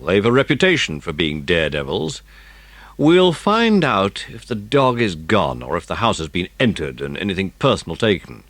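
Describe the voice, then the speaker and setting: A man as a genial, deep British police chief. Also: fairly bright tone, no echo, heavily acted. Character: genial senior police chief, confiding, dryly amused. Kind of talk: dramatised audiobook acting.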